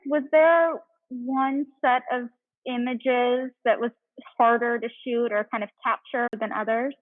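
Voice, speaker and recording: A woman moderator asking questions, reading out the audience's, in a plain measured way. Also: on the high side, fairly even intonation, no echo. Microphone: video call. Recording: tinny phone-like call audio, very dry.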